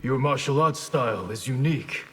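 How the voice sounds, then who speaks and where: An actor playing a composed, inquisitive martial artist in a fighting game, speaking formally. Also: male voice, mid-range voice, moderate pace, fairly bright tone, lightly acted. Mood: calm, probing. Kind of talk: fighting game character dialogue. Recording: long reverb, clear background noise.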